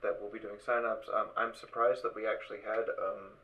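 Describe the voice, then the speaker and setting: A man hosting a casual live stream over voice chat, talking haltingly and repeating his words as he organises. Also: on the low side, flat delivery, pushed and bright. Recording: dull voice chat with background noise.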